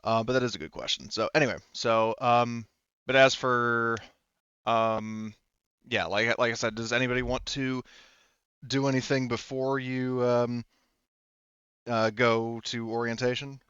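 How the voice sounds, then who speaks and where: A man acting as game master for a tabletop roleplaying game, explaining rules and scenes in a halting, conversational way on a close microphone. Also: medium pitch, steady tone, a bit bright, clear, resonant tone, dry recording, dull audio.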